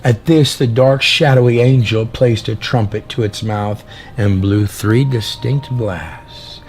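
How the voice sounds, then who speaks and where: A man's voice: fairly low pitch, takes it slowly, darkish tone. A man delivering a dramatic sermon and reading a prophecy aloud, theatrical and emphatic, drawing out phrases and posing rhetorical questions. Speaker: preacher and storyteller. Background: background music.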